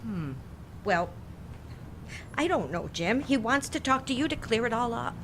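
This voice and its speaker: A folksy, apologetic employee in an audio drama. She rambles and hesitates with lively intonation. Clear ambient noise sits behind her. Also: fairly high pitch, unhurried. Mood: defensive, apologetic.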